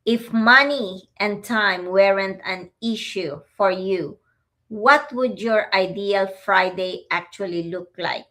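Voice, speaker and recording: A woman's voice: medium pitch, slow pace, sharp tone. A woman in her fifties with a Filipino accent, co-hosting a casual livestream and talking straight to her viewers in a friendly way. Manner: friendly, speaks straight to viewers, laughs easily. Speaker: livestream co-host.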